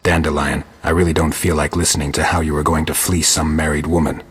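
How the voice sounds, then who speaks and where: Casting: sardonic game monster hunter, world-weary, deadpan monotone, badly husky voice. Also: male voice, fast talker, in a large hall, exaggerated voice. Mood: exasperated. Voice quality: low gravelly rasp. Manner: dry, deadpan, terse. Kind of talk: video game voice acting.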